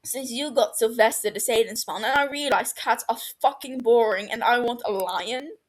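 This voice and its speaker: A woman's voice: a little high, normal speed, voice pushed up, lightly acted. Woman acting a brash, cheeky young British guy. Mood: excitable, insistent. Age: 20s. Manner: sweary, argumentative, boisterous. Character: cheeky, brash, foul-mouthed young British man, excitable dreamer. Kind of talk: fan fiction audio story dialogue.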